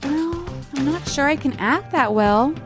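A woman's voice: high voice, expressive, a little slow, music in the background, pitched above the performer's own voice. A woman playing an earnest, naive teenage actress, reading her guileless interview answers aloud from a novel. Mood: earnest, modest. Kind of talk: acted read-aloud of novel dialogue.

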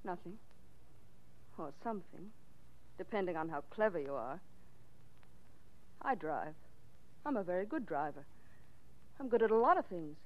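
An actress playing a defensive, cagey widow in a 1940s radio drama, with a pointed, dramatic delivery. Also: female voice, fairly low pitch, expressive, moderate pace, long pauses, natural voice. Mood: cagey, insinuating. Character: defensive, cagey, bitter widow of a murdered man. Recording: dull, lo-fi 1940s radio recording.

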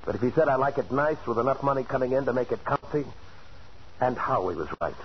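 An actor playing a cool, mercenary lover in a 1940s radio thriller, with blunt, slangy delivery. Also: male voice, mid-range voice, lively intonation, fast talker, natural voice. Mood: cool, dismissive. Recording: muffled 1940s radio recording, faint noise.

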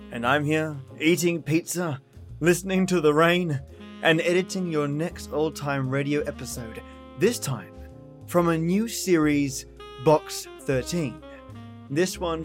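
A man's voice: fairly high pitch, a little slow. A man introducing a podcast episode in a chummy, theatrical, intimate style, addressing listeners directly. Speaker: podcast host. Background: soft guitar music.

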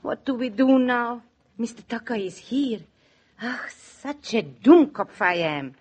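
A German immigrant housewife in a 1940s radio play, with a fairly high voice. She is bossy yet soft-hearted and speaks emphatic, stilted English with a German accent. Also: expressive, takes it slowly, slightly rough, exaggerated voice. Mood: worried, self-reproaching. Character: bossy but soft-hearted immigrant wife, cook and servant. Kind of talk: 1940s radio drama acting.

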